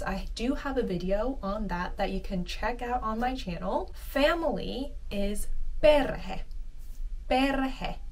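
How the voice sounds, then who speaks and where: Slow-speaking woman teaching vocabulary. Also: mid-range voice, distant mic, rough recording. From Finland and the US. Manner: slow, careful pronunciation of vocabulary words. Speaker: language teacher and channel host. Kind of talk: sponsored segment and vocabulary lesson.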